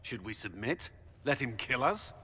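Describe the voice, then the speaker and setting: A stern military commander barks clipped orders in a bright, forceful voice. His grim words trail off into a long, echoing hall reverb. He is a leader in a video game cutscene. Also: mid-range voice, big pitch swings, normal speed, a little hiss or hum.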